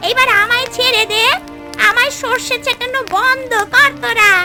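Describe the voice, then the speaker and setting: A scheming female swamp spirit from a cartoon folk tale, piercingly high in pitch. She whines and exclaims her threats over prominent music. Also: steady tone, moderate pace. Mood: pleading. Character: scheming female swamp ghost witch, shrill and pleading.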